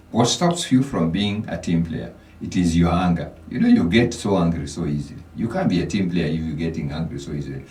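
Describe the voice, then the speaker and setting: A man's voice: low voice, warm tone, echoey room, far from the mic, low-quality recording. A Kenyan man, a financial coach, giving motivational advice as a radio guest, often repeating words as he starts a thought. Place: radio studio.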